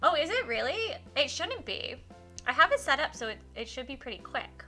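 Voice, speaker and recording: A woman livestreaming a game, switching between informal chat with viewers and expressive read-aloud in a giggly, animated manner. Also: high-pitched, normal speed, soft background music. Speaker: livestreamer hosting a visual novel playthrough.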